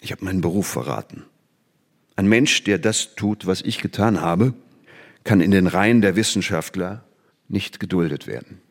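An older man acting a disgraced, bitter old scientist in a radio play, lecturing in a deliberate, declamatory way. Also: sits low, lively intonation, creaky, long reverb tail, exaggerated voice. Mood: bitter confession. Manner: declamatory, deliberate rhetorical phrasing.

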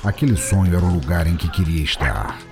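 A man's voice: sits low, a little fast. A man with a Brazilian accent narrating a scripted comic story in a solemn, dramatic way. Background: music.

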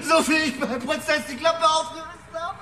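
An actor playing a cornered, cynical American ex-convict in a radio drama, slangy, halting and jeering. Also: male voice, extremely high pitch, steady tone, bright, forceful tone. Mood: mocking, desperate.

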